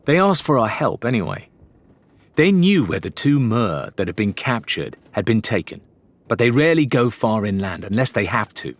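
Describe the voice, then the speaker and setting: A man's voice: fairly low pitch, very animated, normal speed, no room sound. A man voicing a spirited, earnest pirate woman in an audiobook, a little defensive in manner. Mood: indignant.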